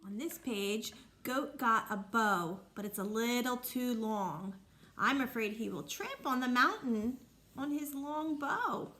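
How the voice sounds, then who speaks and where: A woman's voice: a little high, takes it slowly, sharp tone. A woman reading a picture book aloud to children like a teacher, explaining and asking the listeners questions. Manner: instructive, asks listeners questions.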